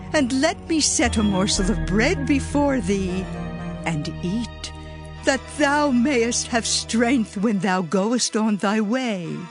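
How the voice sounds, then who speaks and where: An actress playing a humble handmaid, earnest and entreating in a dramatized scripture reading. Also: female voice, a little high. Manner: slow, entreating, deliberate. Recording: music bed behind the voice.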